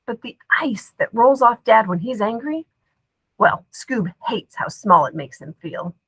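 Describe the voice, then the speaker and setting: Bright, unhurried woman reading a story. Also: a little high, lively intonation, slightly rough, dry recording, rough recording. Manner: dramatic, acts out dialogue. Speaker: audiobook reader. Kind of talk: expressive scripted children's story narration.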